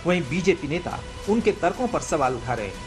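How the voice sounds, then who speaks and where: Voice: mid-range voice, very animated, brisk. A news narrator reading a scripted report. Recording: music bed under voice. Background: background music.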